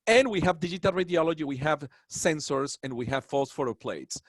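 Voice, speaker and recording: A man's voice: fairly high pitch, animated, a little fast, no echo, studio quality. A man from Colombia with a Colombian Spanish accent giving a webinar lecture on dentistry, earnest and proud, with rambling asides. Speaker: dental professor.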